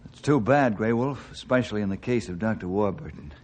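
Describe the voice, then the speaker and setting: A man's voice: fairly low pitch, expressive, a little fast. A man playing an earnest, commanding ranger leader in an old-time radio adventure. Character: decisive, earnest ranger leader, hero type. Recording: dull, hissy vintage radio recording.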